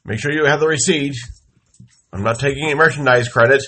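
A man hosting his own show, telling a comic story in a slow, wry deadpan with exasperated outbursts while congested from a head cold. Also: mid-range voice. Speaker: podcast host. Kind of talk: comic monologue. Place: home studio.

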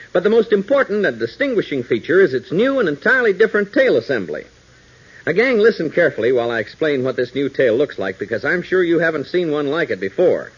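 A man's voice: on the high side, breathy edge. A man announcing and narrating a 1940s radio serial, with emphatic, dramatic delivery aimed at young listeners. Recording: muffled old radio broadcast.

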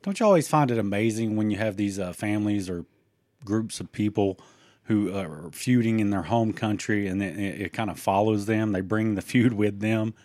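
Low-voiced man in loose podcast chat. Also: moderate pace, a little mellow, dry recording. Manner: rambling, with frequent fillers and restarts. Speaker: podcast co-host. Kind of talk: casual chat.

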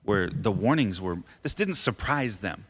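A man's voice: lowish voice, animated, a little slow, a bit bright, slightly rough, in a large hall. A man, a teaching pastor co-hosting a devotional podcast, explaining a Bible passage in plain, casual words. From United States. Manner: explaining, plain-spoken, laughs often.

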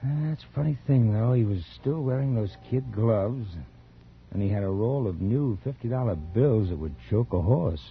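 An American actor playing a genial, plainspoken homicide lieutenant in a 1940s radio drama, chummy and slangy. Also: male voice, medium pitch, expressive, moderate pace, gravelly. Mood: sober, musing. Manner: breezy, chummy, slangy tough-cop talk. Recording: dull, faintly noisy 1940s radio recording.

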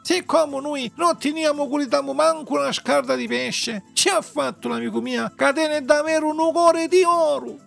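Un uomo con accento del sud Italia che interpreta una volpe imbrogliona, falsamente gentile, teatrale e suadente, in una fiaba. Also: male voice, very high voice, steady tone, gravelly, a bit bright, soft background music, pitched above the performer's own voice, heavily acted. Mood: fake pity. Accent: southern Italian. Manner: wheedling, theatrical, drawn-out. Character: sly old-tricks female fox swindler, fake-kind and coaxing.

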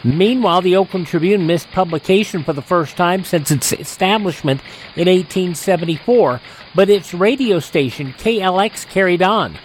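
A man's voice: high voice, normal speed, slight background noise, low-quality recording. A man working as a radio host, reading scripted news stories and announcing a program.

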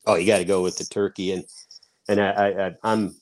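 A man's voice: deep voice, level tone, brisk. Man, middle-aged, from seattle, sports podcast panelist, emphatic, long-winded arguments. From Seattle, USA. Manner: emphatic, argues a point at length. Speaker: baseball writer, panelist. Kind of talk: sports talk podcast discussion.

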